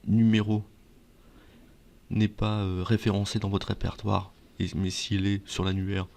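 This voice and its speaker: A man with a fairly deep voice talks directly to his viewers as a presenter. He explains and advises in a conversational way, posing questions and then answering them himself. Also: little pitch movement, measured pace, vocal fry, darkish tone. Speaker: video presenter giving advice. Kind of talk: explainer talk to viewers, semi-scripted.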